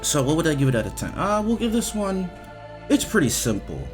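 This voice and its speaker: A man's voice: on the high side, big pitch swings, fairly quick, music in the background. A young man giving casual, rambling game commentary, hesitant and repetitive, with informal swearing. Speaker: video game reviewer.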